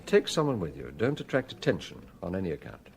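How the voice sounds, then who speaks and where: An actor playing a shrewd, genial police chief in a British radio crime drama, brisk, commanding and probing. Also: male voice, low voice, huge pitch swings, heavily acted.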